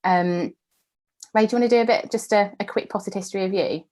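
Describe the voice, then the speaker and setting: A woman's voice: mid-range voice, brisk, darkish tone. A woman co-hosting an unscripted podcast, speaking hesitantly with many filler ums. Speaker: podcast co-host.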